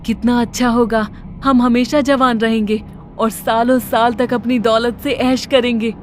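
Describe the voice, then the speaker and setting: A woman's voice: a little high, fairly even intonation, measured pace, a little hiss or hum, lightly acted. A woman playing a greedy wife in a dramatic horror cartoon story. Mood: eager. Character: greedy wife longing for eternal youth.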